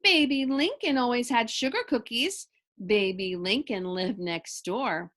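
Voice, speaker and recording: A woman reading a children's story aloud with expressive narration. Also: fairly high pitch, a little slow.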